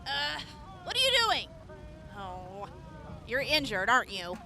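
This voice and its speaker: A woman's voice: fairly high pitch, very animated, a little slow. A woman playing an upbeat young army medic in an audio drama, chatty and giggly, stammering when flustered. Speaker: field medic.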